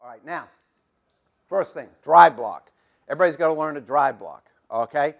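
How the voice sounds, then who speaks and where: Middle-aged man, line coach at a clinic; folksy, repetitive, fairly high voice, hoarse. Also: animated, normal speed. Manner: folksy, repetitive, demonstrating as he talks. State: losing his voice, coughing.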